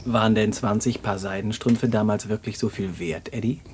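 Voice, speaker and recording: An actor playing a curious, sceptical listener who asks probing questions in a radio play. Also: male voice, fairly low pitch, moderate pace, a little mellow, a little hiss or hum, lightly acted.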